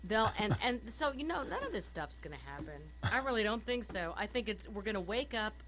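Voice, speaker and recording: A woman with a hoarse voice from a summer cold, co-hosting a political talk radio show from home, ranting animatedly and laughing often as she talks. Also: on the high side, very animated, brisk, a bit bright.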